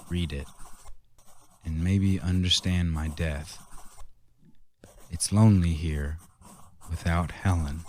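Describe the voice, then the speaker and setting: Guy acting scared haunted husband in a radio horror play, slow low voice, noisy recording. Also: mellow. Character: haunted, frightened husband stalked by a dead man.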